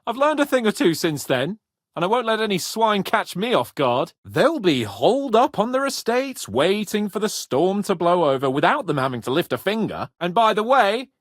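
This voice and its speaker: Cocky, high-voiced young nobleman, very animated. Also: moderate pace, dry recording, clean studio sound. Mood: eager, confident. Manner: pompous, spirited, swearing. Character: cocky young Bohemian noble lord, boastful, foul-mouthed, eager. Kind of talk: video game voice acting.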